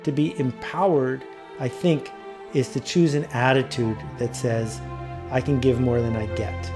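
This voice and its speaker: A man's voice: medium pitch, fairly even intonation, a little slow, over music. A man giving a reflective spoken testimonial.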